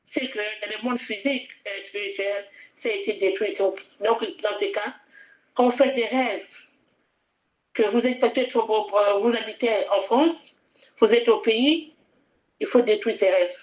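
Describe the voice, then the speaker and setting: A woman's voice: medium pitch, measured pace, dull audio. A woman preaching a sermon fervently, repeating phrases and asking listeners to repeat after her.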